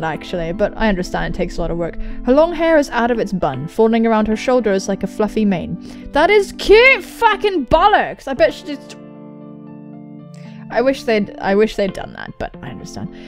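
Animated, high-voiced young woman gamer. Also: moderate pace. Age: young adult. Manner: excitable, exclaiming, sweary. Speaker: gaming video host. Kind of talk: let's play commentary, reading visual novel aloud. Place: indoor room at home, on webcam. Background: game soundtrack music.

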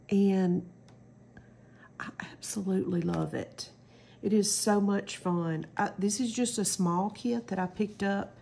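A woman's voice: medium pitch, creaky, darkish tone. A middle-aged woman with a stuffy cold, chatting casually through a craft demo at home and rambling with lots of ums. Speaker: crafting channel host.

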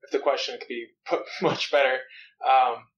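A man's voice: mid-range voice, fairly even intonation, moderate pace, a bit bright, a little hiss or hum. A man hosting a podcast who circles through his questions in an in-person interview, restating and rephrasing as he goes. His voice comes through somewhat dull and muffled. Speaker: podcast host. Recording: slightly dull in-person recording.

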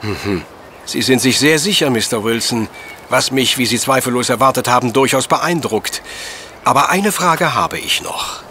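An actor playing a courteous, coolly logical professor detective in a radio play, speaking in ornate, measured phrases. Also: male voice, mid-range voice, animated, bright, forceful tone, slight background noise. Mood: politely probing. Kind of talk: German radio play acting.

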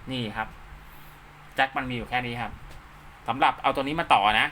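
A man's voice: medium pitch, brisk, sharp tone, slight background noise. A man giving an informal hands-on tutorial step by step, often checking that the listener follows. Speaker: instructor, channel host.

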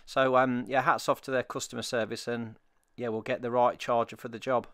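A man narrating a product review as a relaxed, matter-of-fact voice-over. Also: mid-range voice, a little fast, slight background noise.

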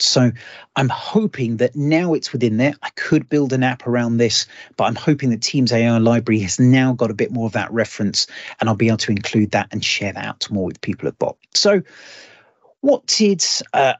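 A man's voice: mid-range voice, dry recording, dull audio. A man wrapping up his tech demo on an online community call. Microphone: computer mic on a video call.

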